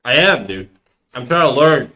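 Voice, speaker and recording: A man's voice: mid-range voice, expressive, moderate pace, bright, forceful tone, low-quality recording. A man of thirty chatting casually on a live stream, rambling in slang and reacting to chat messages. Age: 30s.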